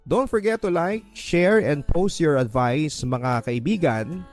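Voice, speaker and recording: A man's voice: on the high side, expressive, takes it slowly, a little mellow. Filipino male radio storyteller over music. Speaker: radio host reading listener letters. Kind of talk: dramatic letter narration and advice.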